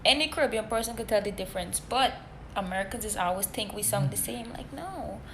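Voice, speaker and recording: A woman's voice: medium pitch, lively intonation, fairly quick, a little hiss or hum. A woman talking in a frustrated, emphatic way.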